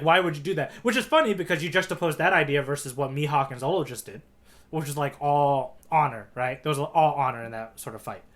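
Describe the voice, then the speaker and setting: A man's voice: a little high, a bit bright. A man co-hosting a podcast in casual discussion, often checking in with a questioning "right?" as he makes his points. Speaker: podcast co-host.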